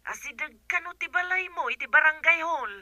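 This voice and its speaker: Actress as pushy village woman caller, high-pitched, very sharp bright voice, pleading, comedy drama. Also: animated, fairly quick, no room sound, heavily acted. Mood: insistent. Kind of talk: Ilocano comedy drama acting.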